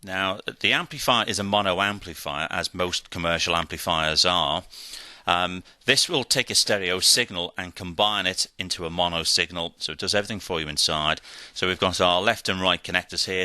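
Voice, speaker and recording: A man's voice: low voice, pushed and bright, dry recording. A man giving a methodical, step-by-step instructional equipment demonstration. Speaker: product demonstrator.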